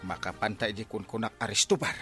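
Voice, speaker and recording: A man's voice: fairly low pitch, quick pace, pushed and bright, soft background music. A man with an Ilocano accent acting a mischievous, blustering village captain in a comedy drama, full of exclamations. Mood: jolly, flirtatious. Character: village captain, mischievous pleasure-seeking comic husband.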